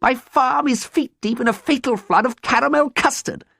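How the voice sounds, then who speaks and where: A storybook gentleman farmer, pitched high and swinging wildly. He wails and stammers with overwrought, melodramatic feeling, in a close, dry recording. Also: wildly swooping pitch, normal speed, breathy edge, dry recording, full character voice. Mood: sobbing despair. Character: melodramatic, ruined gentleman farmer, sobbing and easily lovestruck.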